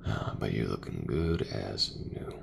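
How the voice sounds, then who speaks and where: Casting: male actor as reassuring sci-fi repairman, soft ASMR roleplay murmur, extremely low and heavily creaky. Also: sing-song, noisy background, full character voice. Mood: reflective, easygoing. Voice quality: very low, soft, breathy murmur, often near whisper. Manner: unhurried, reassuring, folksy. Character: gentle, reassuring human mech mechanic man, sci-fi.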